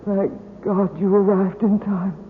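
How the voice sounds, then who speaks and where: An actress playing a frightened, devoted wife in an emotional, melodramatic old radio mystery style. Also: female voice, mid-range voice, steady tone, a little slow, natural voice. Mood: tearful relief. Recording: narrow-band, noisy vintage radio.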